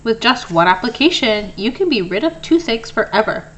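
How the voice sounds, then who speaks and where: A small but firm male mouse dentist from a picture book, voiced by a woman. He speaks with brisk professional authority and lively, swinging intonation. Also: fairly high pitch. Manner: firm, announcing, sometimes sharp.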